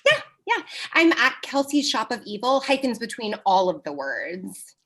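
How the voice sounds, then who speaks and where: Actress as breezy young occult shop girl, highish voice, really bouncy pitch. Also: very animated, moderate pace, bright, forceful tone, heavily acted. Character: breezy young female occult-goods seller. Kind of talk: comedy script table read.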